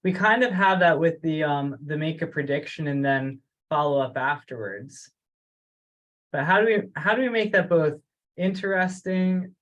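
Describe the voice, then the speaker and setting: A man's voice: a little high, a bit bright, muffled recording. Man thinking aloud on a call. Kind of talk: discussion on an online group call. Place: remote teleconference.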